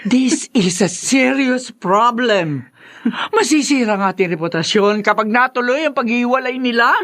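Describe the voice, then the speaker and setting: A woman's voice: a little high, expressive, a little slow, a bit bright. An actress playing a fretful, nagging middle-aged rich mother in a radio drama, scolding with a Filipino accent. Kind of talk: Tagalog radio drama acting.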